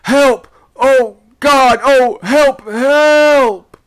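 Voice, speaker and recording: Man acting a terrified sailor, high-pitched cries. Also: very slow, clean tone, dry recording, full character voice. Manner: high screaming, gasping. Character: terrified sailor screaming for help from aloft.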